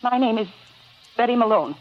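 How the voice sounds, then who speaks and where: A woman's voice: on the high side, expressive, normal speed, lightly acted. Actress as determined young woman, muffled old radio. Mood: insistent. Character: determined young woman searching for her missing sister. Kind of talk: 1940s radio drama acting. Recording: dull, noisy vintage radio recording.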